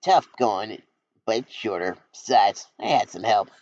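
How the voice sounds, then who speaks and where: A man's voice: fairly low pitch, lively intonation, unhurried, a little mellow, dry recording. A man voicing an easygoing, strong male armadillo, in a dull lo-fi recording.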